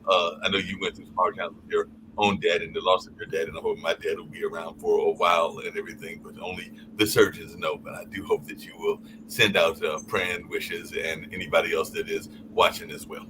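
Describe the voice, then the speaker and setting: A man's voice: low-pitched, little pitch movement, brisk, hardly any pauses. A man on a live call-in podcast, talking in long rambling stretches and often trailing off with "along those lines". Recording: noisy stream audio.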